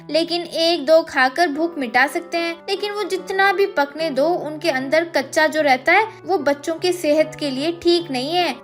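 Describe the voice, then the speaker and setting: A woman's voice: sits high, fairly bright tone, voice pushed up. A woman voicing a humble, eager female school cook in a story, with a deferential manner.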